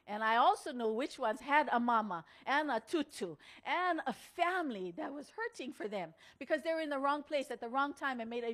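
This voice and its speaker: A middle-aged or older woman, giving a motivational storytelling speech in an earnest, deliberate way with dramatic pauses. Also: on the high side, a bit bright. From Hawaii. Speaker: speaker at a women's conference.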